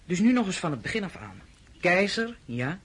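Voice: medium pitch, big pitch swings, normal speed, a little hiss or hum, close to an everyday voice. A performer in a radio play, playing a strict, dutiful tutor. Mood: strict, formal.

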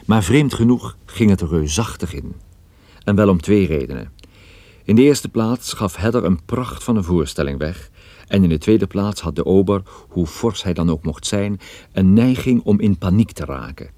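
A man's voice: fairly low pitch, warm tone, no echo. An actor playing a worldly private detective, narrating his own case in a wry, understated way for a radio drama. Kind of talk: scripted first-person radio drama narration.

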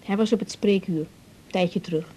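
A woman playing a soft, concerned young doctor talking as a daughter in a naturalistic radio drama, her voice husky and mellow. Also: mid-range voice, moderate pace, lightly acted. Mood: coaxing, concerned.

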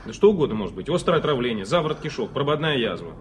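An actor playing an insistent, exasperated but caring doctor in a 1980s Soviet radio drama, speaking in clipped, brusque phrases. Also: male voice, medium pitch, sing-song, rapid-fire, lightly acted. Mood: exasperated, persuading. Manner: brusque, urging, clipped professional speech. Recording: faintly noisy archive radio play recording.

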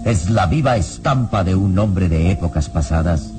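A man's voice: low voice, lively intonation, normal speed, over music. A man narrating a radio drama with solemn, suspenseful, scripted storytelling.

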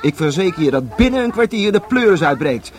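An actor playing a young, hot-headed, cynical police detective in a radio play, argumentative and sarcastic. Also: male voice, high-pitched, big pitch swings, fairly quick. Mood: agitated.

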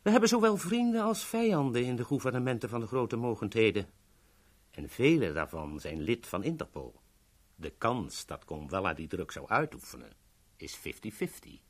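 An actor playing a calculating government agent in a 1970s radio drama, with a formal, deliberate delivery. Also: male voice, medium pitch, sing-song. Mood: grave, insistent. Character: calculating male government agent bargaining over a secret package.

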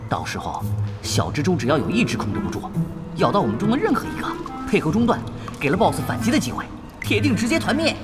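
A prickly, sulky young gamer in a radio play. His highish voice swings dramatically as he grumbles and challenges, over loud music. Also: wildly swooping pitch, fast talker. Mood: challenging. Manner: grumbling, combative. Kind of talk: Chinese audio drama acting.

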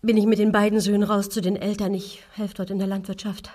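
Actress as guarded wife, husky mid-range voice, hesitant, subdued, cautious, radio drama. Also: female voice, mid-range voice, level tone, quick pace, a little mellow, natural voice. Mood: timid, guarded. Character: timid, guarded wife of a suspect, mother of two. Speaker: actor in a radio crime drama. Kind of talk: German radio drama dialogue.

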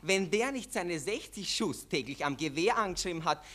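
An actor playing a callous, boastful young army lieutenant with a Viennese accent, chatty and jaunty in a 1940s radio play. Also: male voice, high voice, expressive, normal speed, pushed and bright, exaggerated voice. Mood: jaunty boasting. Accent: Viennese Austrian. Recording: old 1940s radio recording.

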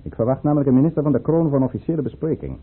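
An actor playing a commanding, guarded count and governor in an old-fashioned radio drama, with a formal, deliberate, urbane delivery. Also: male voice, medium pitch, slightly rough. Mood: coolly guarded. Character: commanding, guarded male count and governor, coolly courteous schemer. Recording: muffled, phone-like old radio recording.

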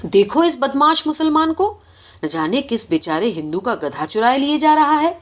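A woman's voice: high voice, sing-song, fairly bright tone, pitched above the performer's own voice. A woman voicing a hostile male rioter in a story, accusing others in an indignant manner. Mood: indignant accusation. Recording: dull, lo-fi recording.

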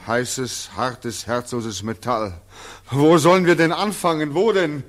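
Man acting young war returnee, bitter and desperate, brisk delivery, 1940s radio play acting, weary anguish. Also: mid-range voice, steady tone, lightly acted. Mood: desperate pleading. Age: young adult. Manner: terse and weary, flaring into anguished pleading. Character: bitter, desperate 25-year-old returned soldier. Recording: 1940s radio drama recording.

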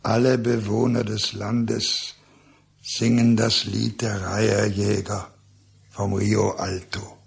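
An actor in a radio drama playing a solemn balladeer, reciting poetic verse in a measured, gravelly voice. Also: male voice, lowish voice, level tone, heavily acted. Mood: solemn, lyrical. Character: solemn male balladeer of the heron hunters' song. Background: plucked guitar music.

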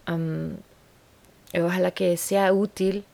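A woman's voice: fairly low pitch, little pitch movement, slow, gravelly, darkish tone. A woman hosting a solo podcast in Spanish, which is not her first language, talking earnestly and often restarting as she searches for words. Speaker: podcast host.

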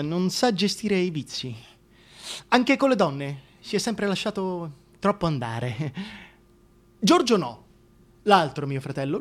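An actor playing a bitter, scheming, deformed Shakespearean duke who pities himself, moving between declaimed verse and hushed confession in a radio drama. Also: male voice, sits high. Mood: confiding. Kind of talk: theatrical radio drama monologue.

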